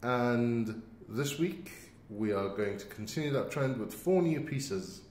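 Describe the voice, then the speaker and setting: A man co-hosting a podcast in casual, halting chat, recorded in an empty office. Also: lively intonation, darkish tone. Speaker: podcast co-host. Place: very empty, cave-like office indoors. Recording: echoey empty office, low rumble noise.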